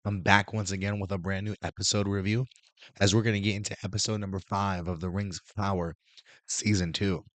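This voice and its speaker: A hoarse man hosting a casual show review, rambling with many restarts while recovering his voice. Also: low-pitched, little pitch movement, a little fast, fairly bright tone, dry recording. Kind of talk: casual review talk to camera.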